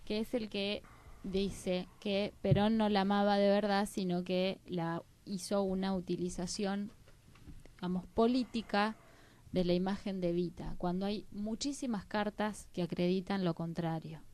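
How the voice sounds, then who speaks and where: A woman's voice: mid-range voice, fairly even intonation, a little slow, darkish tone. An Argentine woman speaking as a panelist in a history discussion, sometimes reading out quotations.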